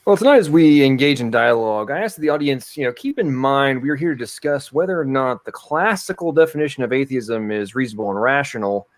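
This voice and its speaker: A man giving a measured, formal opening statement in a debate. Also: mid-range voice, lively intonation, no room sound.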